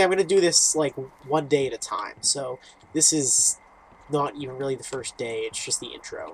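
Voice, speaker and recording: A man's voice: mid-range voice, a little hiss or hum, rough recording. A man hosting a gaming video, casually reading game text aloud and reacting with informal, muttered asides. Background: game music.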